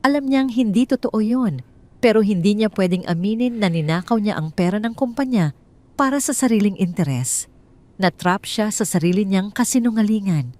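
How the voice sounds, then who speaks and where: A woman's voice: medium pitch, lively intonation, normal speed, darkish tone. A woman with a Filipino accent narrating a scripted dramatic story.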